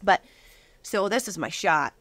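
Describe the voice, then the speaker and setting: A woman's voice: mid-range voice, lively intonation, measured pace, fairly bright tone, dry recording. A woman speaking as an interview guest, giving a casual, conversational personal testimony.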